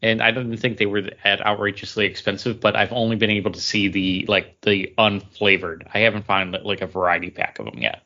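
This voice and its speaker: A man co-hosting a podcast, explaining things casually in a halting way. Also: on the low side, flat delivery, bright, forceful tone, dull audio. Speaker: podcast co-host. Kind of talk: casual chat.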